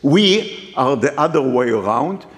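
Slow, halting man lecturing in a hall. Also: mid-range voice, expressive, fairly bright tone. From Middle East. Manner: hesitant, halting, many fillers and restarts. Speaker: guest lecturer. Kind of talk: academic lecture with slides.

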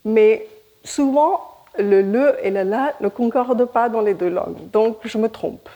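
Woman researcher, Indian accent, Hindi first language; warm, mellow voice, conference talk, hesitant and searching for words. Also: on the high side, dull audio. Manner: halting, searching for words, frequent restarts. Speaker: epidemiology researcher.

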